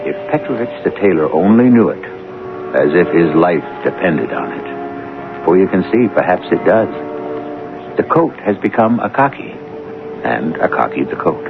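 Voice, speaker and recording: A man hosting a radio mystery drama, giving portentous, deliberate scripted narration. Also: low voice, big pitch swings, creaky. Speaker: host and narrator. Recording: tinny old radio recording, music behind.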